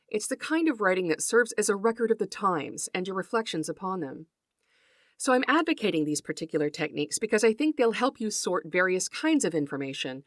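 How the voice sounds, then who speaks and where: A woman's voice: medium pitch, no room sound, clean studio sound. A woman hosting an educational podcast, reading a script in an earnest, articulate manner with formal phrasing. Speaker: podcast host and folklore researcher.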